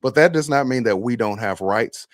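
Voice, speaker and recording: A man's voice: mid-range voice, normal speed, dry recording, clean studio sound. An American man giving casual, opinionated reaction commentary as a bus operator and host, emphatic and fond of rhetorical questions.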